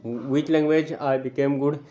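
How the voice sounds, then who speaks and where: Halting young Pakistani man, Shina speaker. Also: medium pitch, fairly even intonation, mellow, muffled recording. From Gilgit-Baltistan, Pakistan. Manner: halting, many filler pauses and restarts. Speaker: student speaking to camera. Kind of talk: unscripted personal talk.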